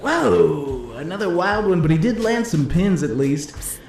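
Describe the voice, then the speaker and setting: An actor playing a slick, cheeky 1950s disc jockey who calls a bowling game with showy hepcat patter and drawn-out flourishes. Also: male voice, sits high, very animated, background noise, exaggerated voice. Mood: wry, playful. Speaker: play-by-play announcer. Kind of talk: 1950s radio-style sports commentary. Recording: roomy echo, music underneath.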